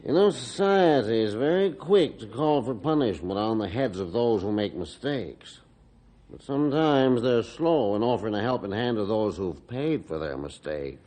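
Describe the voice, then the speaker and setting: An actor playing a kindly, fatherly reform school principal in old-time radio style, avuncular and measured. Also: medium pitch, sing-song, warm tone, close to an everyday voice. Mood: kindly, encouraging.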